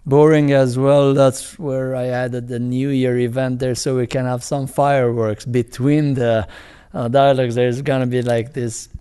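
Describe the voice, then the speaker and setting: A man with an Iranian accent, a film director speaking as an interview guest in a halting way, with many restarts, fillers and chuckles. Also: medium pitch, flat delivery, mellow, clear, resonant tone. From Iran. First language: Farsi. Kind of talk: casual interview talk.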